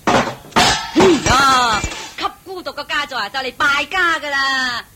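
A woman's voice: high-pitched, takes it slowly, heavy background noise. An actress with a Hong Kong Cantonese accent voicing a stubborn, grumbling female housekeeper in a radio drama. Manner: petulant, sulky and defiant. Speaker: voice actor.

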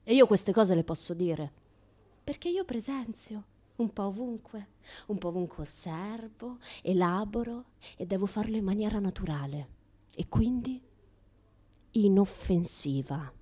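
A young woman with a Roman accent who insists on her own innocence in a radio theatre monologue. Her medium-pitched voice is chatty and indignant. She slips from hushed, confiding asides into louder, challenging rhetorical questions. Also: animated, measured pace. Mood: self-justifying. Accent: Roman Italian. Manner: colloquial, rhetorical questions, swinging between hushed asides and loud outbursts. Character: self-styled naive young woman, defiant, chatty, indignant. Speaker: actress performing a monologue.

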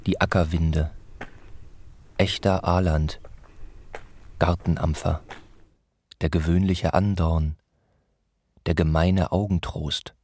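A man narrating a literary radio play in short, deliberate, measured phrases, as a sober narrator of village life. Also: sits low, a little mellow, breathy edge, dry recording, studio quality, heavily acted.

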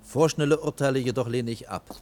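An actor playing a dry, schoolmasterly chief architect in a radio play, proud and reproving, speaking formally as if lecturing. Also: male voice, mid-range voice, fairly quick, a little mellow, lightly acted. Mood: stern, condescending. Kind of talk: 1980s radio play dialogue.

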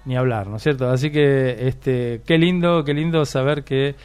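A man's voice: mid-range voice, normal speed, a little mellow, smooth. Argentine male radio host in his sixties who asks long, meandering questions. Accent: Argentine Spanish. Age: 60s. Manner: rambling, hesitant with many fillers. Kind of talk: warm radio interview.

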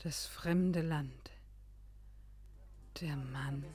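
A wistful schoolteacher, no longer young, in a radio drama. She speaks slowly and hesitantly in a dreamy, yearning way, recorded close and dry. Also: sits low, a little mellow, breathy edge, dry recording, exaggerated voice. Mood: intimate, yearning. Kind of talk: German radio play acting.

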